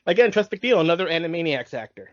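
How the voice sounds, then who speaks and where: A man's voice: fairly high pitch, big pitch swings, fast, no echo. A man hosting a podcast in casual, fannish conversation. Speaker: podcast host. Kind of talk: casual fan podcast chat. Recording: dry, dull lo-fi recording.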